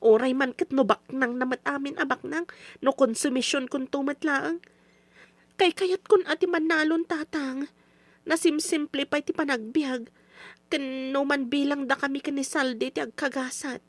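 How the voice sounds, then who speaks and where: Young daughter for radio drama: high-pitched, Ilocano accent, earnest, plaintive, digs her heels in. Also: steady tone, normal speed, no echo. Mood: protesting. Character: earnest young daughter, stubborn, pleading, simple farm girl. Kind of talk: old radio drama acting.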